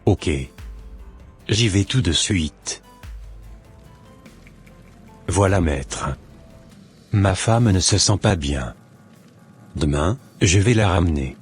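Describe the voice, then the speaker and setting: A man's voice: low voice, animated, extremely slow, pauses often, slightly rough, soft background music, full character voice. A man voicing a wounded, stern husband in an amateur cartoon dub, with a grave, earnest delivery. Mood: concerned, compliant. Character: betrayed, wounded but loving husband, stern and brooding.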